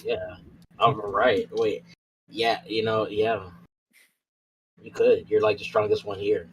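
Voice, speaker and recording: A man's voice: on the low side, level tone, takes it slowly, highs cut off. A man playing a tabletop roleplay game, talking in insistent, slangy, casual banter that circles back on repeated phrases. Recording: clear background noise.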